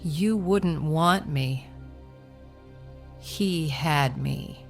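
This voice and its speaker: A determined, sorrowful medieval woman played by an actress, grave and earnest. Her voice is low and she speaks slowly, with soft music behind her in a historical audio drama. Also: vocal fry, heavily acted. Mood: bitter shame.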